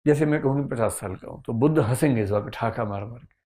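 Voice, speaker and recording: A man in his fifties lectures a class at a middle pitch. He explains patiently, stops to ask rhetorical questions and answers them himself, and drops English phrases into his talk. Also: fast talker, warm tone. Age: 50s. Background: audience of students.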